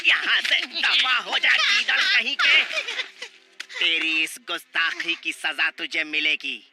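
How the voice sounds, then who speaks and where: A man's voice: a little high, very animated, slow, creaky, exaggerated voice. An actor voicing a menacing male tiger villain in a dubbed cartoon, gloating and threatening. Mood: angry. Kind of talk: Hindi cartoon dub. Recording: loud background music.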